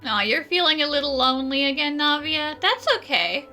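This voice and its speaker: A woman's voice: sits high, animated, normal speed, pushed and bright. A chatty woman doing casual, improvised commentary and replies during a video game playthrough. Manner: chatty, laughs easily. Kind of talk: casual improvised let's play dialogue and commentary. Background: game music.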